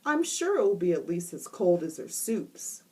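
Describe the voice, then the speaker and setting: A woman voicing a calm, matter-of-fact schoolteacher in a storybook reading, speaking in a level, sensible and unhurried way. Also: lowish voice, distant mic.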